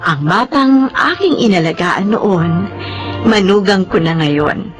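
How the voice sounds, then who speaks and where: A woman's voice: mid-range voice, expressive, takes it slowly, over music. A woman playing a loving, hardworking Filipino mother, speaking tenderly and sentimentally in a radio drama. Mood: happy, tender. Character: Gemma, loving hardworking mother and new grandmother.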